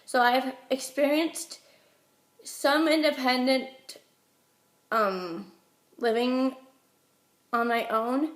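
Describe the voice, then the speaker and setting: A young American woman from Utah giving chatty, halting answers as a job applicant in an interview, while getting over a head cold. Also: sits high, fairly even intonation. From Utah, United States. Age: young adult. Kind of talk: informal phone interview answers. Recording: roomy echo.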